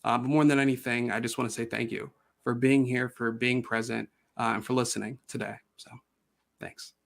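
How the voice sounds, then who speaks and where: A man's voice: medium pitch, level tone, normal speed, gravelly. A man closing a conference presentation, speaking in a reflective, sincere way and explaining his point through an athlete's comparison.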